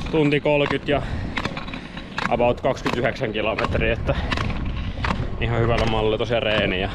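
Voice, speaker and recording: A young Finnish man talking casually to the camera in a vlog, relaxed and rambling with many fillers. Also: on the low side. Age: young adult. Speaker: vlogger and roller skier. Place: in a car, outdoors on a road, indoors at home. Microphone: handheld or dash camera. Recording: dull lo-fi sound with background noise.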